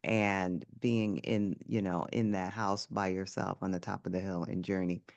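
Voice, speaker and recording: A man's voice: sits low, flat delivery, moderate pace, vocal fry, a little mellow, no room sound, muffled recording. A man hosting a podcast, asking curious follow-up questions in an easy conversational way. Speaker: podcast host. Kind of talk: conversational interview.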